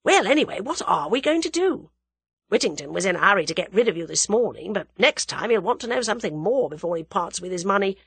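Lady doing a dry doubtful young english chap from a storybook, sensible, bit husky, lively intonation. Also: medium pitch, no room sound. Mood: serious, doubtful. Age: young adult. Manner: measured, sceptical, dry. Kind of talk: dramatised audiobook reading.

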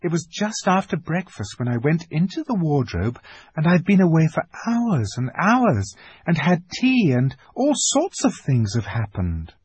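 A man voicing an earnest, excitable little girl who talks with eager insistence. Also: on the high side, unhurried, breathy edge, dry recording, voice pushed up, exaggerated voice. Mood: excited.

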